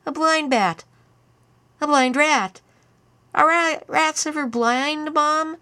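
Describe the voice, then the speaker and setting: A woman's voice: high voice, slow pace, pushed and bright, pitched above the performer's own voice, exaggerated voice. A woman voicing a ten-year-old boy who is tipsy, giggling and teasing in a singsong way. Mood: giggly taunting.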